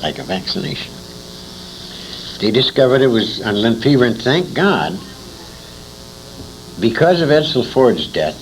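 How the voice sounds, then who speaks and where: An American man in his sixties telling anecdotes in an interview, in a dramatic, emphatic and salty storytelling manner. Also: vocal fry. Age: 60s. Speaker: interviewee, former radio actor. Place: recorded interview at a convention. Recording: noisy 1980s amateur tape with rumble.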